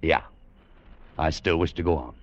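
An actor playing a tough, wry American opportunist with terse, slangy delivery in hardboiled old-time radio drama style. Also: male voice, a bass voice, moderate pace, soft background music. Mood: suspicious. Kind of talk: 1940s-50s hardboiled radio drama acting. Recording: muffled, noisy vintage radio recording.

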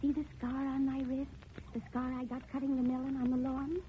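An actress playing a determined, wronged young daughter in earnest, dramatic 1940s radio style. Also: female voice, high voice, flat delivery, fairly quick, close to an everyday voice. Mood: eerie, accusing. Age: young adult. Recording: muffled, noisy 1940s radio recording.

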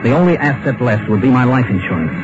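A man's voice: medium pitch, lively intonation, fast, close to an everyday voice. A man playing a haunted, broke businessman. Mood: desperate. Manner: tense, haunted first-person narration. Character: anxious broke businessman, middle-aged husband. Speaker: narrator and lead actor. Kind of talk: 1950s radio drama acting. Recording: muffled old radio broadcast with music.

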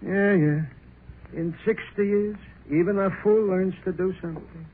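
High-voiced, wry old musician. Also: male voice, measured pace. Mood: wry, polite. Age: over 70. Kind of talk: 1930s radio drama acting. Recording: tinny, noisy 1930s radio recording.

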